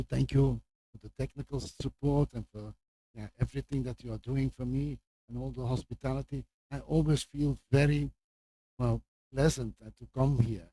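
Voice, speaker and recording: An older man from Belgium, a native Flemish speaker with a Flemish accent, gives an informal lecture introduction as a visiting professor in a halting way, with repeated words and asides. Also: mid-range voice, mellow, rough recording. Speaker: guest lecturer, medical professor.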